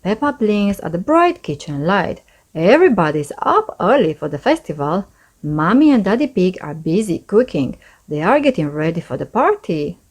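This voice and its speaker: A woman reads a storybook to young children. Her voice sits a little low and moves up and down in a lively way. She speaks straight to her small listeners as the host. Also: normal speed. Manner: expressive, addressing young listeners directly. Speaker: host reading children's books aloud. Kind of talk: read-aloud storybook narration for children.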